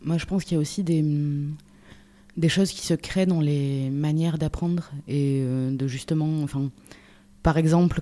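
Reflective speaker with a mellow voice. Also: medium pitch. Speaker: radio show panelist. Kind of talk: casual radio discussion.

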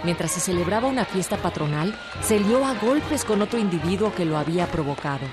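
A woman's voice: on the low side, animated, brisk. A woman delivering scripted narration for a historical radio drama, with music behind her.

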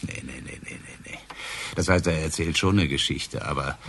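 An actor playing a sceptical, brooding middle-aged police inspector, dry and musing, in a naturalistic German radio crime drama. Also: male voice, a bass voice, normal speed, creaky, fairly bright tone, a little hiss or hum, heavily acted. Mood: pensive, doubtful.

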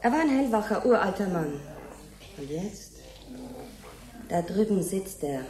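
Matter-of-fact carer, roomy sound. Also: female voice, medium pitch, very animated, measured pace, a little mellow. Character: matter-of-fact female carer of an old man. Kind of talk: science fiction radio play acting. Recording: roomy sound with clear noise.

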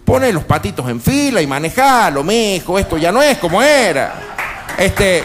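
A man's voice: high voice, very animated, moderate pace, background noise. A man playing a folksy, foul-mouthed, exasperated Argentine provincial boss in a satirical radio sketch, grumbling and repeating himself colloquially. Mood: weary, bossy. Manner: grumbling, colloquial, repeats words and pet address.

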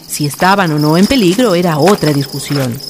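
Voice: mid-range voice, fairly quick, noisy background. A narrator reading a horror story in a formal, ornate literary style. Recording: music behind the voice.

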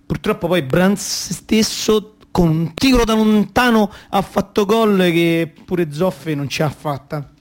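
A man's voice: sits high, slow pace, hardly any pauses. An actor playing a naive, stubborn, football-obsessed young boy in a radio play, speaking in childish, slangy, repetitive phrases. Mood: eager storytelling. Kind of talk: radio theatre monologue and dialogue.

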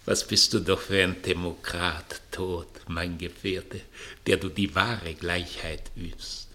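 An actor playing a cynical, scheming master who philosophizes grandly about death in a theatrical, sardonic radio play style. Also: male voice, low-pitched, steady tone, slow, pushed and bright, full character voice. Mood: grand, mocking. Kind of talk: 1960s German radio play acting.

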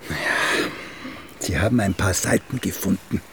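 Husky, creaky old cynical writer. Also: male voice, sits low, moderate pace, a bit bright, full character voice. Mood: weary, philosophical. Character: cynical, evasive old male writer, weary and mocking. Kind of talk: radio drama acting.

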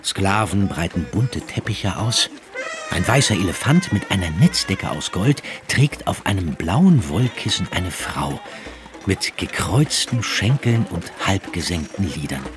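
Male narrator, literary radio drama: deep voice, measured descriptive reading, lively melody. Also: over music.

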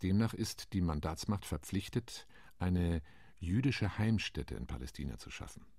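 An actor playing a Latin American UN delegate recounting committee intrigue in a radio play monologue, with a measured, ironic telling. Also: male voice, deep voice, animated, vocal fry, darkish tone, breathy edge, no room sound, studio quality, full character voice.

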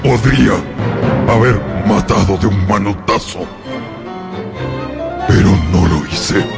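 An actor playing a lonely, sorrowful monster who tells his story in a brooding, halting way for a radio drama. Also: lowish voice, vocal fry, over loud music.

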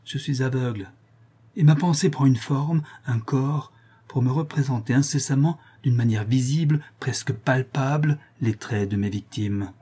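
A man voicing a husky, dark blind old murderer. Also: mid-range voice, moderate pace, lightly acted. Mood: haunted. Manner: intense, brooding monologue with hushed menace. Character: blind brutal old male murderer, haunted and vengeful. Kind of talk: audiobook reading of a classic novel.

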